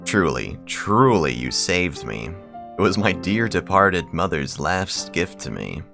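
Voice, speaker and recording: A man's voice: low voice, very animated, slow, vocal fry, over music, heavily acted. A man voicing a refined, doting rich young lady from a visual novel, with formal, gushing politeness. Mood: devoted, grateful.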